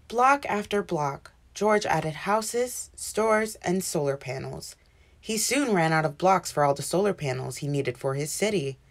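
A woman reading a children's picture book aloud as an expressive, animated storyteller. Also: lowish voice, unhurried. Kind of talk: expressive scripted read-aloud narration.

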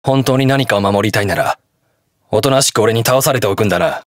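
An actor playing a brash, hot-blooded young detective hero in a video game, gruff, terse and defiant. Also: male voice, on the low side, fairly quick, no echo, clean studio sound, close to an everyday voice. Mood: stern, resolute. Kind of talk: video game battle voice lines in Japanese hero-show acting.

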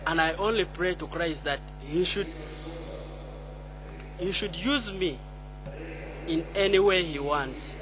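A woman's voice: lowish voice, slow pace, pauses often, noisy background. A woman speaking earnestly and prayerfully, giving a heartfelt testimony at a conference.

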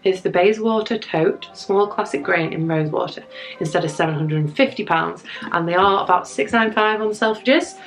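A young woman vlogger with a husky voice from a cold, chatting upbeat to viewers while unboxing a handbag in a room at home. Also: lowish voice, normal speed, poor audio. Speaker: fashion vlogger. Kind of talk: chatty product unboxing review. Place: home room with framed prints, indoors.

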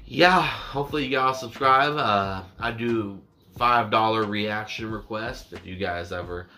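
A man's voice: lowish voice, unhurried, sharp tone, slight background noise. A man in his twenties chatting casually to camera as a reaction YouTuber, rambling and self-deprecating, stretching some words out. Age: 20s. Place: small indoor room with dark backdrop.

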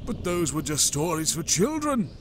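A man voicing a humble old alien village elder from a comic book, halting and respectful. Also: a little high, very animated, slight background noise. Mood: humble, puzzled. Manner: halting, respectful, drawn-out delivery. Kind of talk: dramatic comic book reading.

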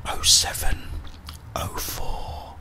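A middle-aged British man whispering ASMR game commentary in short murmured reactions, close to the microphone. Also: drawn-out words. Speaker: gaming streamer.

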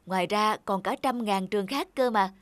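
A woman playing a gentle mother, soothing and concerned, in an animated cartoon. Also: mid-range voice, normal speed, dry recording, lightly acted. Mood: soothing, worried. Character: gentle, worried mother comforting her daughter.

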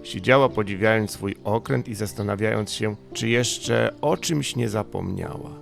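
A man reads a bedtime story as an audiobook narrator. His voice sits fairly low with a distinct creaky grain. Music plays clearly underneath. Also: moderate pace.

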